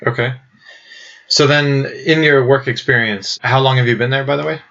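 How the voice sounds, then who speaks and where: A man's voice: medium pitch, fairly even intonation, moderate pace, a bit bright. A man hosting a podcast, asking guests long, conversational questions. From United States. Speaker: podcast host. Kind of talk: podcast interviewing. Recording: dull lo-fi podcast audio.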